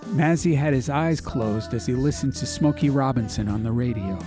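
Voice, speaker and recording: A man's voice: mid-range voice, moderate pace, over music. A man reading an atmospheric story as an audiobook narrator, in a scripted literary style.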